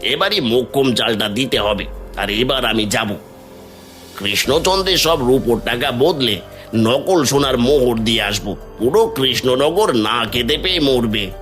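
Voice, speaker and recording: A man's voice: mid-range voice, expressive, measured pace, fairly bright tone, soft background music. A man playing a sly, servile merchant swindler in a cartoon, with an ingratiating, scheming way of talking. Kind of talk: cartoon villain dialogue.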